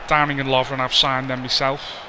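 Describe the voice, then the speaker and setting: A man's voice: medium pitch, monotone, fairly quick, noisy background. A man with a Scouse accent casually commentating over a football video game, chatty and self-deprecating. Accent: Scouse (Liverpool). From Liverpool, England. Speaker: gaming commentator. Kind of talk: casual let's play commentary.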